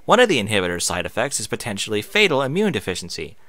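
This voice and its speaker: A man's voice: lowish voice, wildly swooping pitch, very fast, bright, forceful tone, no room sound. A man reading aloud in a casual way, sometimes stumbling and slipping into off-script asides. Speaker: online reader and streamer.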